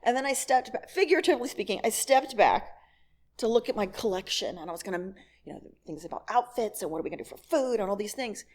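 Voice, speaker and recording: A woman's voice: mid-range voice, animated, fast talker. A middle-aged woman giving a candid, wry personal talk to a congregation, telling stories and acting out bits of dialogue. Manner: laughs as she talks. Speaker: guest speaker at a church service.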